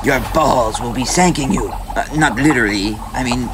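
An actor playing a pompous, earnest pitchman who speaks in formal, grandiose, slightly awkward phrases in a comic ad. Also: moderate pace, noisy background, lightly acted. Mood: grandly enthusiastic. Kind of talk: comic scripted ad read in character.

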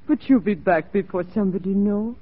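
A woman's voice: medium pitch, brisk, close to an everyday voice. An actress playing a jealous, lovestruck young frontier woman in broken English, pleading and goading in 1940s radio style. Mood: passionate, pleading. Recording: tinny, band-limited 1940s radio recording.

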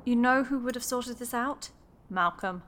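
High-voiced gossipy woman acted out by a woman. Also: moderate pace, a bit bright, natural voice. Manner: gossipy, emphatic. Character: gossipy, scandalised ordinary female voter. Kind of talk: radio play acting, chatty dialogue.

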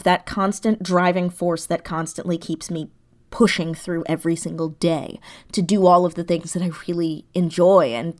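A woman speaks as a guest in a casual interview. Her pace is slow overall, coming in halting bursts at a middling pitch. She is effusive and giggly in her manner. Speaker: interview guest, audio drama creator.

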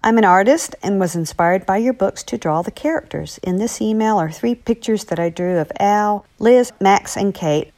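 A woman with a medium-pitched voice talks as an author to young listeners. She is chatty and encouraging, as in a friendly letters segment. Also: moderate pace, few pauses, darkish tone.

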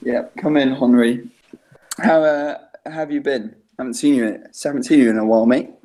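Young English man, 18, podcast host at home, mellow mid voice, loose and sweary. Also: mid-range voice, fairly even intonation, normal speed, rough recording. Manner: laughs a lot, swears casually, rambling. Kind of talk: casual comedy podcast chat. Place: home during quarantine.

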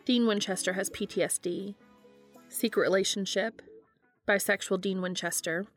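A woman hosting a podcast, reading fan fiction aloud in a steady, even storytelling manner. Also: mid-range voice, moderate pace, soft background music. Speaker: podcast host and reader.

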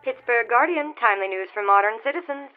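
An American woman playing a brisk, unimpressed 1920s newspaper office worker with a dry, sarcastic manner on the telephone. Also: on the high side, expressive, narrow, tinny audio, over music, close to an everyday voice. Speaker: actress in an audio drama.

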